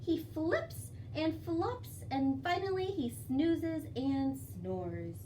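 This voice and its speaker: A woman leading a children's storytime as a librarian, with an expressive, sing-song way of addressing young children. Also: high voice, very slow. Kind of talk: children's storytime with songs, rhymes and read-aloud. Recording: distant mic, faint background noise.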